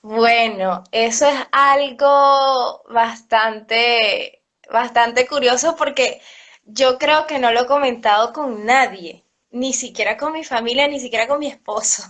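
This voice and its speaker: A woman with a Venezuelan accent, a cello teacher answering interview questions in a chatty way, often laughing and filling pauses. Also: on the high side, a bit bright. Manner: chatty, laughs often, many fillers like "este". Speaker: cello teacher and orchestra program director.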